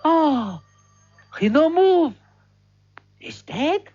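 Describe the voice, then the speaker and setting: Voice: high-pitched, very animated, drawn-out words, a little mellow, lo-fi sound, slight background noise, full character voice. A stage actor playing a bumbling foreign waiter who narrates in broken English, dropping little words.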